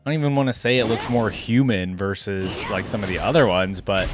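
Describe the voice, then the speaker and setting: A man's voice: fairly low pitch, brisk, over music. A man giving casual reaction commentary as a video host, musing aloud in a rambling way.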